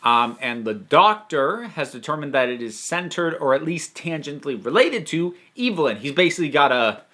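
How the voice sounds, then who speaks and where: Male review host, higher-pitched voice, lively and emphatic, casual delivery. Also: normal speed. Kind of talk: casual review monologue to an audience.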